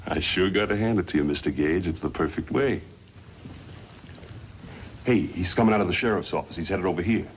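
An actor playing a cocky hired gunman in a 1950s radio western, with folksy, rough grammar. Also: low-pitched, a little fast, pauses often, lightly acted. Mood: scheming. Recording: noisy vintage 1950s radio recording.

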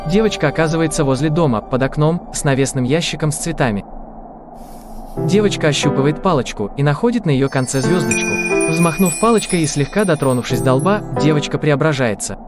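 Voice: mid-range voice, lively intonation, fairly quick. A narrator reading scripted audio description for a cartoon. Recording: music under the voice. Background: prominent soundtrack music.

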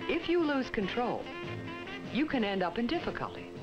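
An American woman reading scripted 1960s educational film narration in a measured, instructive way with emphatic phrasing. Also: fairly high pitch, very animated. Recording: dull 1960s film soundtrack with music. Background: prominent music.

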